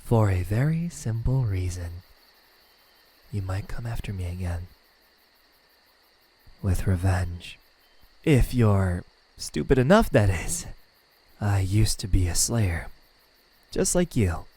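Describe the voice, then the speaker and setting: A man voicing a smug, menacing yet melancholic demon in an ASMR roleplay, speaking in a hushed, taunting way. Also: deep voice, lively intonation, exaggerated voice. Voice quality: breathy, slipping into whispers. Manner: slow, hushed, taunting delivery with pauses.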